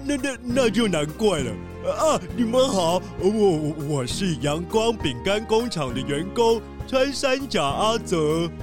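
A stammering high-voiced pangolin worker. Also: male voice, sing-song, unhurried, few pauses. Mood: nervous. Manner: stutters constantly, many fillers. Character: nervous stammering male pangolin factory worker. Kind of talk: children's radio drama. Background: background music.

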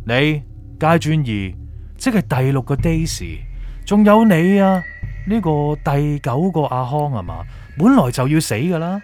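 An actor playing a gloating, manipulative villain in an audio drama, with a Hong Kong Cantonese accent and taunting, drawn-out delivery. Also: male voice, on the high side, very animated, a little mellow, faint music behind. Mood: menacing. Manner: theatrical, taunting, drawn-out emphasis, drops in English words. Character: gloating, manipulative villainous male official, mocking.

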